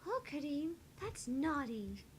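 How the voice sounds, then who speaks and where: A man voicing a sweet, naive, childlike anime girl who speaks softly, in an amateur visual novel performance. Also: very high voice, very animated, slow pace, pitched above the performer's own voice, heavily acted. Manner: speaks of self in third person, soft.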